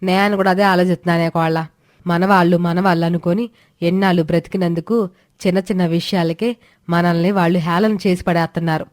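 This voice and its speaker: A woman's voice: on the low side, steady tone, fairly quick, a little mellow, no room sound, studio quality, natural voice. A woman voicing an agreeable middle-aged village mother-in-law with a colloquial, matter-of-fact manner in a moral story.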